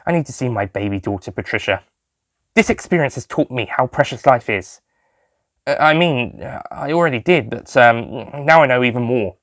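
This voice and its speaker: A man's voice: mid-range voice, lively intonation, moderate pace, a bit bright, dry recording, studio quality. A man voicing an earnest, bemused male police inspector in an audio story.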